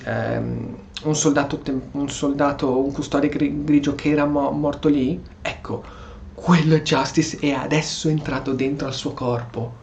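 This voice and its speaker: A man's voice: medium pitch, creaky, darkish tone, lo-fi sound, a little hiss or hum. A man from Italy commenting casually on a video game, reacting and thinking aloud as a streamer. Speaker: gaming streamer.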